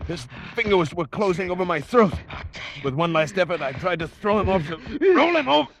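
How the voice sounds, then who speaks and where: An actor playing a tense, determined adventurer who narrates his own peril in an old radio drama, dramatic and deliberate. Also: high voice, sing-song, slight background noise. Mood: desperate. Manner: dramatic, deliberate, suspenseful delivery. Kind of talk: old-time radio drama acting, first-person narration. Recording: vintage radio recording with music behind.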